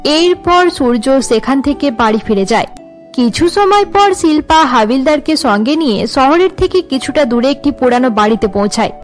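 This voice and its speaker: High-pitched woman narrating a cartoon story. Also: expressive, moderate pace, soft background music.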